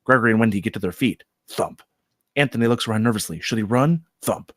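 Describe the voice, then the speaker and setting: A man's voice: lowish voice, fast talker, a bit bright, no echo, clean studio sound. A man reading stage directions as narrator of a scripted comedy table read.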